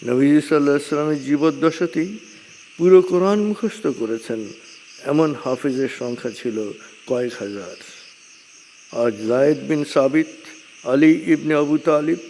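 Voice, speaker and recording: A man giving a measured religious lecture, speaking deliberately in short phrases with frequent pauses. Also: fairly high pitch, darkish tone. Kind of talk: measured religious lecture, partly scripted narration. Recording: long hall reverb, clear background noise.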